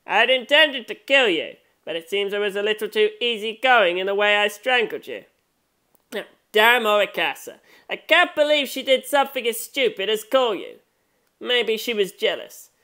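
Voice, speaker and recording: A woman's voice: mid-range voice, animated, normal speed, pushed and bright, voice pushed up. A woman reading the part of a menacing man in a story, gloating and condescending over a victim. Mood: menacing, irritated. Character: menacing adult man, strangler taunting his fallen victim.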